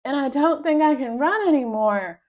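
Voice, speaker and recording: Woman playing anxious young boy, storybook sidekick, excitable, high-pitched, slightly dull sound. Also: moderate pace. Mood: panicked.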